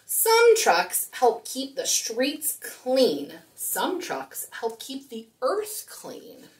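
A woman's voice: high-pitched, huge pitch swings, extremely slow, far from the mic. A woman in her thirties hosting storytime, talking and reading aloud expressively to young children. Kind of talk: children's storytime talk and picture-book read-aloud. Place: small room indoors.

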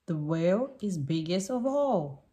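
A woman reading a picture book aloud for young children in deliberate, simple sentences. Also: lowish voice, very animated, mellow, a little hiss or hum.

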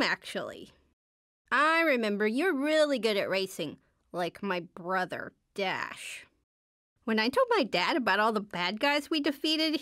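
A woman's voice: fairly high pitch, fairly bright tone, dry recording. A woman playing a shy, wry teenage superhero girl in a video game, hesitant and understated, with dry earnestness. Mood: earnest, warm. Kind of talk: video game character voice lines.

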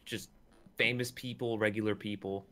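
A man's voice: on the low side, steady tone, normal speed, pushed and bright, a little hiss or hum. A man talking casually with friends and commenting on the action as he plays a video game. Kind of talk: casual chat and play-by-play while gaming with friends.

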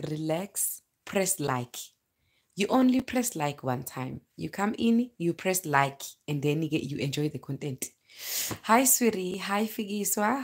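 Woman, 30s, South African accent, slightly low voice, casual animated vlogger talking to viewers. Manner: chatty, animated, breaking off to greet viewers. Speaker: livestream vlogger, storyteller. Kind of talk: casual livestream chat, personal storytelling. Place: bedroom, indoors, lying in bed. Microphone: phone at arm's length.

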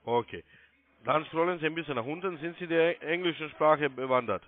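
A man's voice: on the high side, brisk. A man working as a call centre sales agent, giving insistent, repetitive step-by-step instructions over the telephone. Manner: insistent, repeats himself. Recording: muffled narrow-band phone line.

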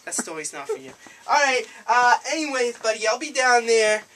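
Bright-voiced rambling young man, prank calling. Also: extremely high pitch, big pitch swings, normal speed. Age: young adult. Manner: rambling, hesitant, overfamiliar. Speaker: prank caller. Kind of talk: prank phone call. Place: small cluttered room indoors. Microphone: handheld camera a few feet away. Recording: noisy amateur camera audio, clicks.

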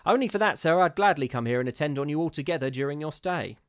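A man voicing a gruff, scornful old charwoman who scolds bluntly and fears nothing. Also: a little high, animated, fast talker, dry recording, clean studio sound, pitched above the performer's own voice, natural voice. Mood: earnest.

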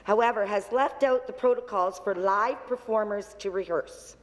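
A woman's voice: mid-range voice, long reverb tail. Woman reading a question in chamber. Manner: measured, reading from notes. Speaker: opposition member of a legislature. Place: large legislative chamber. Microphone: desk microphone.

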